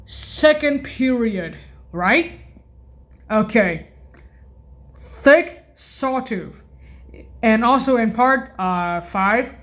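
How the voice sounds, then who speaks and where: Woman with highish voice doing a casual keyboard demo, really slow, keeps repeating and correcting herself. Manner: halting, repetitive, frequently self-correcting and apologizing. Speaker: keyboard demonstrator presenting organ voice effects. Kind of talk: informal music demo, announcing preset names.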